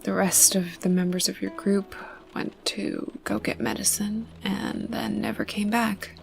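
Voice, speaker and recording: A woman playing a tough, guarded young female survivor in an ASMR roleplay, blunt and sarcastic, with a breathy voice that often drops toward a whisper. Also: mid-range voice, steady tone, unhurried, faint music behind. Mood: guarded, probing. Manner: wary, sarcastic, blunt, cursing. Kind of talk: ASMR roleplay, close intimate acting to the listener.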